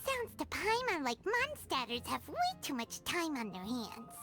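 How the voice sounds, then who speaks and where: Very high, squeaky little fairy. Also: female voice, very animated, unhurried, sharp tone, exaggerated voice. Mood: skeptical. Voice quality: squeaky, childlike. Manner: speaks of self in third person, exclamatory. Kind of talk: animated game character acting. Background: prominent music.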